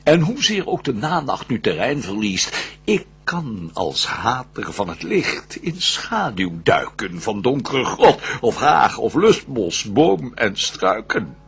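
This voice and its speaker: A vengeful fallen prince of hell, declaims verse in a radio play. The delivery is slow and grandiose, with a gravelly grain and sweeping intonation. He sounds proud, brooding and full of schemes. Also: medium pitch, sing-song, fairly bright tone, heavily acted. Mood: brooding, watchful. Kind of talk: theatrical verse radio drama.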